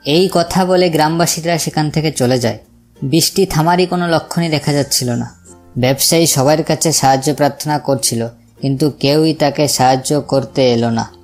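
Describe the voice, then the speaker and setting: A woman's voice: sits low, normal speed, darkish tone. A woman reads a scripted moral story as its narrator, with music behind her. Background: background music.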